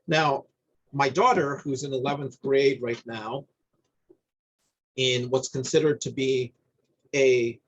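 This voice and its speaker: A middle-aged man from New Jersey talking in a thoughtful, halting way, often repeating words as he searches for them. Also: medium pitch, husky, lo-fi sound. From New Jersey, USA. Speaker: guest. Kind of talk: reflective conversation.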